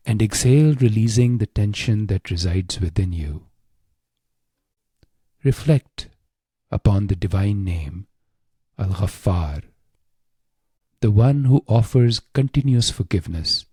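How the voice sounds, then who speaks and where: Slow, low, husky male meditation guide. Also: dark tone, no echo, clean studio sound.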